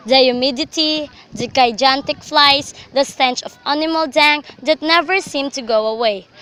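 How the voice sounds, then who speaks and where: A young woman narrates a radio drama. Her voice sits high and has a bright, forward edge, and she delivers the scripted story as a storyteller. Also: poor audio. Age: young adult.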